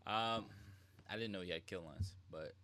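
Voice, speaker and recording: A male streamer with a low, bright, forceful voice. He comments casually and informally, talking straight to his chat as he reacts. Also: normal speed. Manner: informal, addresses chat, mimics lines. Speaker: streamer, host.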